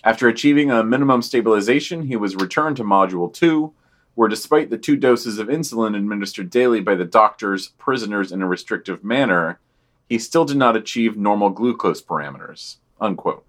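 A man co-hosts a news podcast and reads the items in a lowish voice. He stays earnest and measured throughout. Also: expressive, a bit bright. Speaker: podcast co-host.

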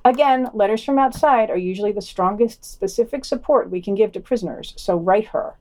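Female podcast news reader, soft dark timbre, mid pitch, scripted items plus commentary. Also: brisk.

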